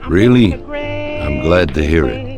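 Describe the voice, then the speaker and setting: An extremely deep-voiced older crime boss for a video game. He speaks with measured authority and quiet menace, as someone used to being obeyed. Soft music plays behind him. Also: very animated, heavily acted. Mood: quietly pleased. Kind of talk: video game cutscene acting.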